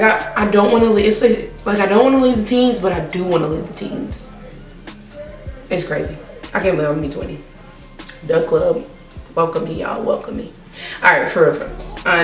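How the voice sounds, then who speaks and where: A nineteen-year-old woman, chatting casually and rambling through a Q&A vlog. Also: lowish voice. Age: teens. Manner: chatty, rambling, repeats herself. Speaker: vlogger. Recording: roomy, distant camera sound with faint music.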